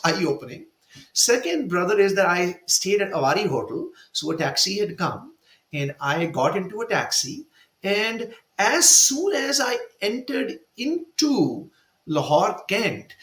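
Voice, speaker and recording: Animated Indian-born man telling stories. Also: high voice, echoey room. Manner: emotional, animated storyteller. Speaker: author. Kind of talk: personal storytelling in a discussion. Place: virtual event, video call. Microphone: video call.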